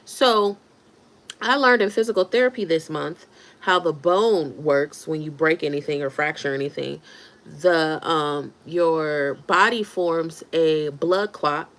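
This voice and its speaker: A woman's voice: deep voice, unhurried, a bit bright. Chatty woman in her thirties. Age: 30s. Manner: chatty, answers viewer comments aloud. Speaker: livestream host. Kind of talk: casual livestream chat.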